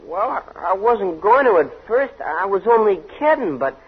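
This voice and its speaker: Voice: mid-range voice, sing-song, normal speed, husky. An actor playing a protesting, plain-spoken youngster at home in a 1940s radio comedy. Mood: nervous protest. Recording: muffled, hissy 1940s radio recording.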